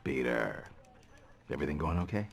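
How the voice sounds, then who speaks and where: A man's voice: rumbling low, expressive, moderate pace, gravelly, slightly rough, full character voice. A man playing a kindly, supportive middle-aged newspaper editor in a video game, avuncular and easygoing.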